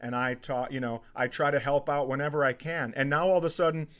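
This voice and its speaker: A man's voice: medium pitch, fairly even intonation, fast, fairly bright tone. A man hosting a comedy podcast in casual chat, ranting with exasperated sarcasm and swearing.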